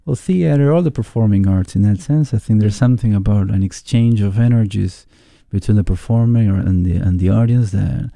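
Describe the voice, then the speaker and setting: A man with an Argentine Spanish accent and a gravelly, very dark voice. He talks in a low register, thoughtful and halting, reaching for the right words as a musician guest in an interview. The sound is close and dry. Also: no echo. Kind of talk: casual interview conversation.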